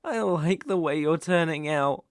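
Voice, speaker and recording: A man's voice: on the high side, a little slow. A British man playing a menacing, possessive kidnapper boyfriend in an ASMR roleplay, cooing endearments that shade into controlled threats. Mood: sadistic, doting.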